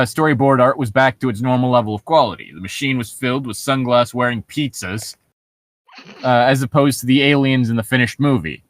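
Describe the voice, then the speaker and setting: A man's voice: mid-range voice, dry recording. A man co-hosting a show, reading stories aloud and breaking off for wry, nitpicking comments in a casual way. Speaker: podcast co-host reading and mocking internet horror stories.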